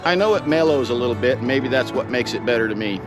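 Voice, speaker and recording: Middle-aged male vlogger, mid-range voice, reflective and plain-spoken personal storytelling over clearly audible music. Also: medium pitch, animated, fast talker.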